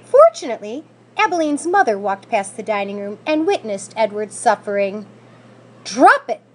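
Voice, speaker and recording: A woman reading a children's story aloud in an expressive, scripted narrating style. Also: medium pitch, normal speed. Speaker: school staff member reading aloud.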